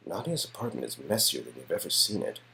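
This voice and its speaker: A man's voice: a bass voice, very animated, quick pace, creaky, pushed and bright, a little hiss or hum, low-quality recording. A man narrating scenes from an interactive story game as scripted, descriptive storytelling. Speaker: narrator of a game-dialogue performance.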